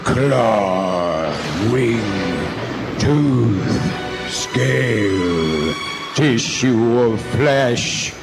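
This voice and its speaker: An actor playing a commanding, seductive undead vampire count in a radio horror drama, with slow, incantatory, imperious delivery. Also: male voice, mid-range voice, lively intonation. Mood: brooding, commanding. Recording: loud music under the voice.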